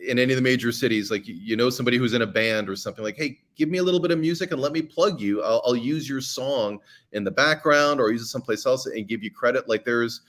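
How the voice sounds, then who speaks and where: A man's voice: mid-range voice, fast, fairly bright tone. A man speaking as a guest on a podcast, chatty and giving advice with frequent ums and restarts. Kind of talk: casual podcast conversation.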